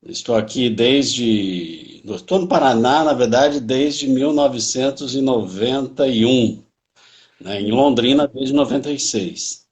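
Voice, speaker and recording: A man's voice: medium pitch, slow pace. A man, a history professor answering interview questions modestly, often correcting himself. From Bauru, São Paulo state, Brazil. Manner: modest, with restarts and self-corrections. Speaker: university history professor, guest.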